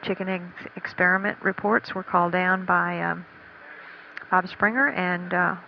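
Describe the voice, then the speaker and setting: Halting woman commentator, flat, muffled feed. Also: fairly low pitch, creaky. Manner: halting, matter-of-fact. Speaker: mission control commentator. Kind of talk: unscripted status commentary. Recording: dull archival radio feed with faint noise.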